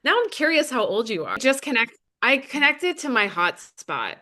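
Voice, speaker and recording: Bright-voiced woman, casual and informal. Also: a little high, sing-song, normal speed. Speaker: adult college student. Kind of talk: casual video-call interview. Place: video call.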